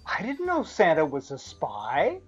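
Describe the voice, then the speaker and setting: Lady doing eager impulsive kid shark boy, storybook voice, super animated, bit muffled recording. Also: low voice, a little slow, slightly rough, faint music behind, full character voice. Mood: puzzled, curious. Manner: blurting, excitable.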